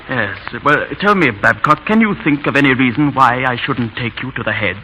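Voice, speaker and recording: An actor playing a shy, formal English schoolmaster husband with hesitant speech and dry humour, in 1930s radio drama style. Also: male voice, mid-range voice, expressive, unhurried, bright, forceful tone. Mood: stern, relenting. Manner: hesitant, formal, dryly humorous. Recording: dull, noisy 1930s radio recording.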